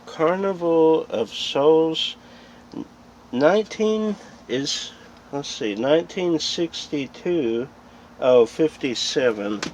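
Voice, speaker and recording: A man's voice: mid-range voice, a little mellow. A man hosting a casual, unscripted collecting vlog, rambling and thinking aloud as he goes. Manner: rambling, thinking aloud as he looks over items. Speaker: host of a video channel about film collecting. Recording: dull lo-fi sound with background noise.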